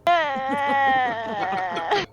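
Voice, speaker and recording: Slow-talking, higher-voiced woman player bantering. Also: sing-song, crowd noise. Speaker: player on a tabletop roleplaying stream. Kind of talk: casual banter.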